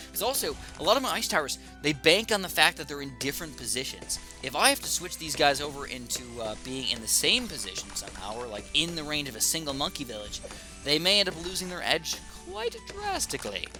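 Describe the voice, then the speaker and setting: A young man with a slightly raspy, sore throat, chatting excitedly while thinking aloud through commentary on his own gameplay. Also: on the high side, fairly quick, music in the background. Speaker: gaming YouTuber.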